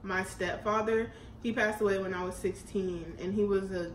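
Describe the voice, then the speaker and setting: A young woman in her twenties from Houston, talking in a relaxed, chatty way and laughing easily. Her mid-height voice sits in a roomy, echoey space. A faint hiss runs underneath. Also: medium pitch, moderate pace. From Houston, Texas, US. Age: 20s. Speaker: YouTube co-host. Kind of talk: casual chat during a mukbang Q&A. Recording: echoey room with faint noise.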